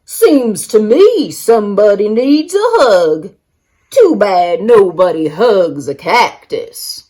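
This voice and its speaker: A woman's voice: mid-range voice, sing-song, takes it slowly, mellow. A woman voicing a laconic, wry male cowboy as a children's storybook character. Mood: wry, unimpressed.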